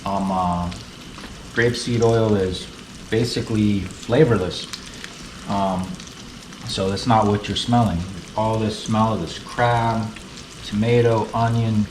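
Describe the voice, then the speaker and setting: A man from the United States hosting a relaxed, chatty cooking tutorial in his kitchen. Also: lowish voice, takes it slowly, pauses often, noisy background. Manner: relaxed, chatty, fond of saying beautiful. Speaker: cooking channel host. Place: kitchen with food frying on the stove. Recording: roomy sound, mic set at a distance, sizzling pan.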